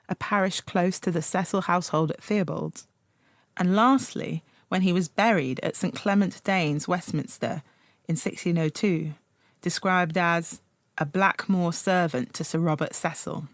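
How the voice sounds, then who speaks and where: Low-voiced woman presenting a radio play. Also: no echo, clean studio sound. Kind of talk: scripted historical narration.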